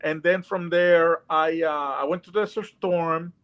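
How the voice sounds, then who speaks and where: A man with a Puerto Rican accent joins by video call, voice fairly high for a man. He talks earnestly and haltingly, stopping often on fillers and restarts. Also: fairly even intonation, clean tone, highs cut off. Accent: Puerto Rican Spanish. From Puerto Rico. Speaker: guest. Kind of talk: casual video call interview.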